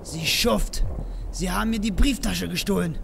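Outraged pompous gentleman, high-pitched, creaky, shrill, reverberant radio play. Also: normal speed. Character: indignant, pompous, well-to-do accuser. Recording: reverberant hall, faint noise.

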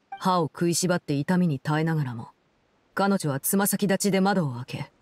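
An actress playing a cold, composed game villainess, telling a story in a measured, solemn and restrained way. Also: female voice, deep voice, a little mellow, dry recording. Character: cold, composed woman, Fatui Harbinger who runs an orphanage. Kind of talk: scripted game story narration.

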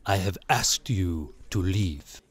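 Haughty gallery proprietor voiced by a male reader, storybook villain. Deep register, clipped, imperious, icily courteous. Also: takes it slowly, slightly rough, dry recording, full character voice. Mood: cold, dismissive. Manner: clipped, coldly polite, imperious. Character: plump, haughty male art gallery owner, coldly menacing.

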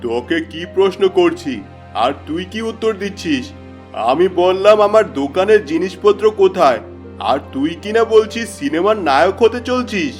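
A man's voice: high voice, expressive, moderate pace. A man playing a suspicious, irritable middle-aged shop-owner father in a cartoon, with a questioning manner. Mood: exasperated. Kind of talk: cartoon moral-story dialogue. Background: background music.

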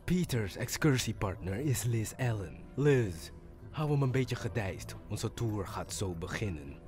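A man's voice: lowish voice, lively intonation, a little slow, a little mellow, soft background music, pitched below the performer's own voice. A man in an amateur cartoon fandub voicing a strict adult science teacher who scolds his students. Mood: stern. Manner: stern, scolding.

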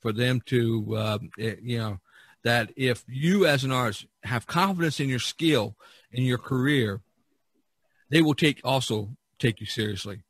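A man's voice: mid-range voice, a little slow, slightly rough, dry recording. A man chatting casually on a podcast, explaining things in a rambling way with many "you knows". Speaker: artist on a podcast.

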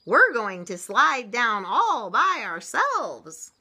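Lady doing little baby chicks from a storybook, timid n squeaky, high swoopy voice. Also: wildly swooping pitch, measured pace, bright, forceful tone, exaggerated voice. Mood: excited, proud. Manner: squeaky, hesitant, childlike.

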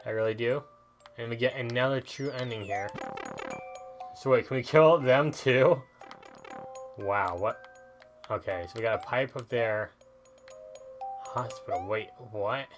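A man's voice: mid-range voice, unhurried, long pauses. Man chatting over game music. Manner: chatty, thinking aloud while playing. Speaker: gaming video host. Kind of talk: casual gameplay commentary. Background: video game music.

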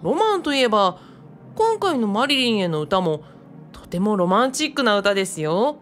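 Young female assistant for radio drama woman acting: courteous, modest, level-headed, with lively melodic delivery. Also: medium pitch, normal speed, soft background music, close to an everyday voice. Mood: friendly, animated. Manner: courteous, animated.

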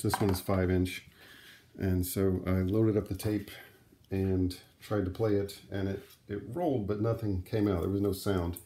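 A man's voice: low-pitched, mellow, a little hiss or hum. A middle-aged man giving a casual, unscripted demonstration, talking conversationally and thinking aloud as he handles things. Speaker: vlogger, vintage audio hobbyist. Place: room at home, indoors.

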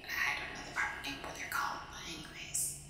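A woman performing an ASMR roleplay as a skeptical, teasing companion exploring a haunted house, in a breathy, hesitant whisper. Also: fast, natural voice. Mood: musing, doubtful. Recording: very echoey hall reverb, clearly noisy ambience.

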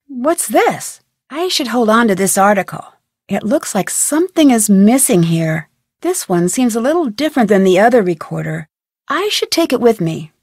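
A woman with an American accent playing a plucky, curious teenage girl detective in a video game, thinking aloud in a clear, chipper way. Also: fairly high pitch, no room sound, clean studio sound, heavily acted. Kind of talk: scripted video game voice lines.

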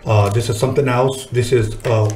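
A man's voice: medium pitch, fairly even intonation, normal speed, darkish tone, slight background noise. Informal male podcast host, echoey room. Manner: informal, slangy, swears casually. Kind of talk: casual interview chat. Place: small podcast studio, indoors. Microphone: tabletop podcast microphone. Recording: roomy studio echo.

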